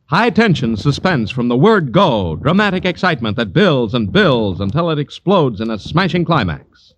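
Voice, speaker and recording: A man's voice: medium pitch, sing-song, normal speed, a little hiss or hum. An American man announcing radio programmes in a polished, emphatic 1940s promotional style. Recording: old 1940s radio broadcast, music behind.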